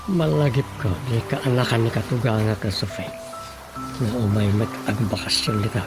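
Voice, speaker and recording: A man with an Ilocano accent playing an unhurried comic rural man in a radio drama, with a creaky voice. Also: on the low side, music in the background, lightly acted. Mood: musing.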